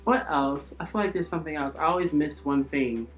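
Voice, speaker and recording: High-voiced young American man, roomy echo. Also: fairly quick. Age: young adult. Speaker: podcast co-host. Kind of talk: casual unscripted chat. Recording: roomy echo, faint music.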